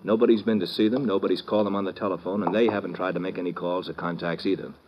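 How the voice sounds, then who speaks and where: An actor playing an easygoing, capable American government agent in old radio drama style, plain-spoken and assured. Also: male voice, deep voice, steady tone, rapid-fire, lightly acted. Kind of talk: 1950s radio drama acting. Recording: muffled, faintly noisy old radio recording.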